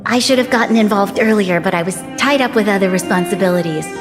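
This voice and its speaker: A woman voicing a gracious, composed young female leader in a video game, formal, measured and authoritative. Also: mid-range voice, natural voice. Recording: reverberant hall, music behind.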